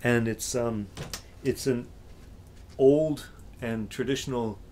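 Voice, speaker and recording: A middle-aged man hosting a casual chat in his home basement studio, speaking in a relaxed, hesitant way with frequent ums. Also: medium pitch, slow pace, a little hiss or hum. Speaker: host musician. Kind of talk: casual video intro and chat.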